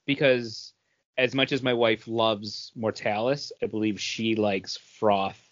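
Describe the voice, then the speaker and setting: A man's voice: lowish voice, fairly even intonation, slow pace, no room sound. A man chatting casually as a podcast co-host. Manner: frequent throat clearing. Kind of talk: casual chat. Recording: dull, dry lo-fi podcast audio.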